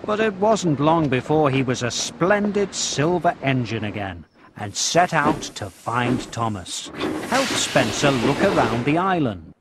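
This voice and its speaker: Animated, unhurried male children's narrator. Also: medium pitch. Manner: clearly enunciated storytelling, addressed to young children. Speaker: narrator of a children's train cartoon game. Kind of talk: scripted children's story narration with game prompts. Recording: loud music behind the voice. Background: music.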